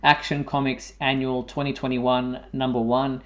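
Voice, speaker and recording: A man hosts a live online fan show, reading out news items in a medium-pitched voice. His delivery is halting, with hesitations as he moves from one announcement to the next. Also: flat delivery, fairly bright tone. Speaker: show host.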